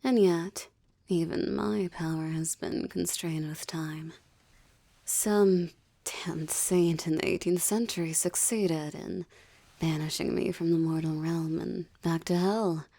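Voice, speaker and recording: A woman playing a seductive, gloating succubus demoness in an intimate audio drama, teasing and haughty with theatrical delivery and breathy whispers. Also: lowish voice, steady tone, slow pace, warm tone, full character voice.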